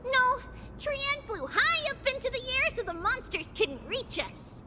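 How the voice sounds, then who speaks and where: A woman voicing a cheerful, excitable little girl in an anime-style video game, speaking in a childlike manner. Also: very high voice, unhurried, heavy background noise, exaggerated voice. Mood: earnest. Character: cheerful little girl who speaks of herself in third person. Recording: game music behind the voice. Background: game music.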